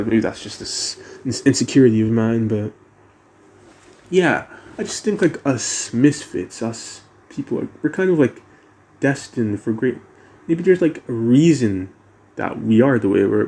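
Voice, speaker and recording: A man's voice: medium pitch, a little mellow. Teenage guy with a mixed American-British accent. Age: teens. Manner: hesitant, many restarts and fillers, musing. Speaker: vlogger. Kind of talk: casual rambling monologue.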